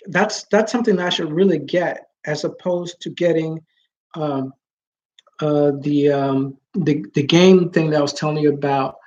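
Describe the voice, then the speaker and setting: A man with a naturally high voice who talks loudly, rambling with many fillers and restarts in a casual live chat with his viewers. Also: highs cut off. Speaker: vlogger.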